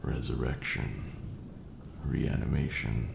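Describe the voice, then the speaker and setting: A man playing an ancient vampire lord, cruel yet grieving, who delivers a brooding, theatrical ASMR roleplay monologue in a gravelly, breathy murmur. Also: a bass voice, steady tone, slow pace, roomy sound, noisy background, heavily acted. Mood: sorrowful, bitter.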